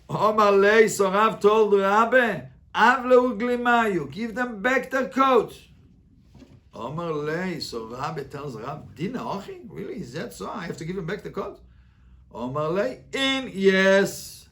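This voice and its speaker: A man teaching an interactive Talmud class as a rabbi, reading the text and translating it phrase by phrase with animated repetition and rhetorical questions. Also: high voice.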